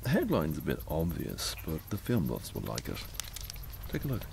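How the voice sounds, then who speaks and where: Very low, gravelly, brooding man. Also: huge pitch swings, moderate pace, darkish tone, slight background noise, heavily acted. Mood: brooding, resentful. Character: brooding, resentful man, sidelined partner of a writer. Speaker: supporting actor in a radio drama.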